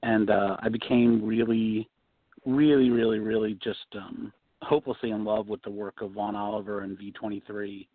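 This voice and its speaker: A man being interviewed as a guest designer, relaxed, talking with many you-knows and ums. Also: lowish voice, moderate pace, no room sound. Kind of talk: casual interview answers. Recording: tinny phone-line sound.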